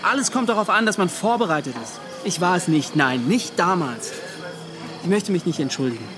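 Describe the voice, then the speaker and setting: High-voiced, tormented ship's officer. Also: male voice, animated. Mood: defensive, anguished. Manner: agitated, pleading, halting then rushing. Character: tormented, defensive male ship's officer recounting a disaster. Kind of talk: radio drama acting, confessional monologue.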